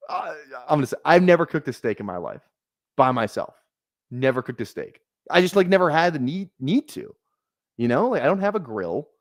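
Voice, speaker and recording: A man's voice: a little high, very animated, a little fast, no room sound, clean studio sound. A man in his twenties chatting casually on a fantasy football livestream, rambling, swearing often and laughing at himself. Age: 20s. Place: small apartment room.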